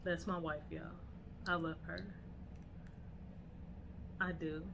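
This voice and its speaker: A woman's voice: deep voice, little pitch movement, takes it slowly, creaky, background noise. A young woman co-hosting a casual podcast with her wife, playful and slangy, laughing often. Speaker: podcast co-host. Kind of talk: casual chat.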